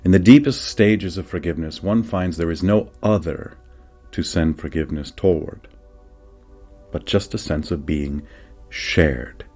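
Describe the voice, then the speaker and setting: A man reading a scripted spiritual meditation teaching in a measured, deliberate way, with dramatic pauses. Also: deep voice, a little mellow. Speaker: reader of a spiritual book. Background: faint music.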